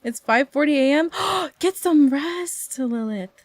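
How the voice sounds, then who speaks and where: A woman's voice: high-pitched, animated, measured pace, no room sound. A woman streaming a game, reacting and chatting casually in a giggly, informal way into a close microphone. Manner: giggly, informal, loosely paced. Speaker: live streamer.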